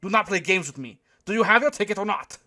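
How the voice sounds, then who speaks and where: High, barking pompous German showman. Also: expressive, fast talker. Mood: scornful. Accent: thick German. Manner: barking, imperious, shouting. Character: rotund bald German showman, irritable and pompous.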